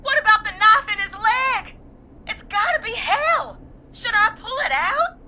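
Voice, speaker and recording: A woman's voice: extremely high pitch, animated, a little slow, sharp tone, full character voice. An actress playing a frightened, desperate young woman caller in a video game, pleading, hesitant and apologetic. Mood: panicked, tearful. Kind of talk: dramatic video game voice acting.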